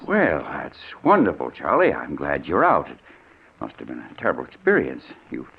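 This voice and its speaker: A nervous middle-aged stockbroker, in an old radio drama. His low voice swings widely as he stammers and dodges, with a rough edge, on a narrow, muffled 1940s recording. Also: huge pitch swings, normal speed, full character voice. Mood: nervous, falsely friendly. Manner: stammering, evasive.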